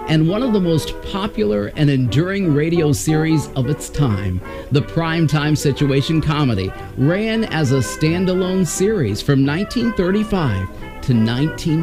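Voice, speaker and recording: Lively scripted host over music. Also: female voice, deep voice. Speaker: show host. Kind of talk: scripted introduction narration. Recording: music bed under the voice.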